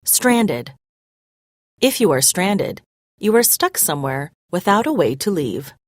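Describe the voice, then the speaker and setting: A woman narrating a scripted story as a read-aloud, expressive and clearly phrased. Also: lowish voice, slow, no echo, clean studio sound.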